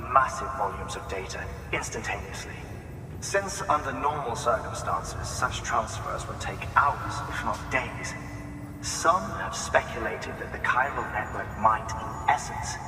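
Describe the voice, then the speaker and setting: An actor playing a measured, guarded official in a video game, speaking with formal, pedantic deliberation. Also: male voice, fairly low pitch, noisy background, lightly acted. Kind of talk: video game cutscene acting. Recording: echoey hall, distant, music behind.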